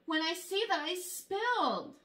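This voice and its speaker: A young woman reading a children's picture book aloud in an expressive, exaggerated storytelling way. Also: very high-pitched, unhurried. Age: young adult. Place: small room at home, indoors.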